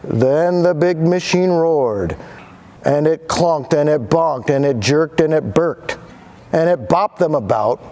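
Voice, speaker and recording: A male teacher giving a dramatic read-aloud of a picture book to students. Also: fairly high pitch. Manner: animated, dramatic reading voice.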